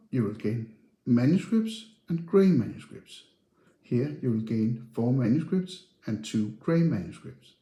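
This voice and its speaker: Man, lively swooping intonation, mellow tone, step-by-step rules explainer, roomy recording. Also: mid-range voice. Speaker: board game presenter. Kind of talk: instructional narration, explaining game rules. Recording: roomy, echoey sound.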